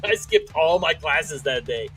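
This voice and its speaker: Male podcast co-host, late 30s, forceful bright tone, loose profane storytelling, faint music underneath. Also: on the high side, lively intonation, unhurried. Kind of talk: casual profane chat.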